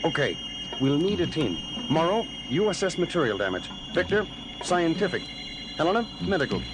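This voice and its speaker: Commanding, animated moon base commander. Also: male voice, fairly high pitch, background noise. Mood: brisk, commanding. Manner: brisk, commanding. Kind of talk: audio drama acting.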